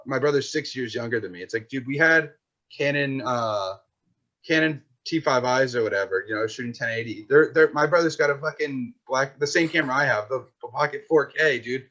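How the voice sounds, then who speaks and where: Young guy, 20s, loose slangy chatter peppered with filler, mid-pitched, slightly muffled lo-fi mic. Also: a little fast, bright, forceful tone. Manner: rambling, slangy, full of "like" and "dude". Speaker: podcast guest, filmmaker. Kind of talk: casual chat. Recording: dull lo-fi sound.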